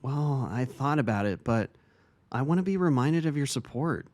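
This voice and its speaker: A man's voice: medium pitch, animated, normal speed, a little mellow, no room sound, studio quality, lightly acted. A man playing an earnest, kindly vice-principal and devoted brother in an audio drama, with a sincere delivery. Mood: thoughtful. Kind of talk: audio drama reading of fan fiction.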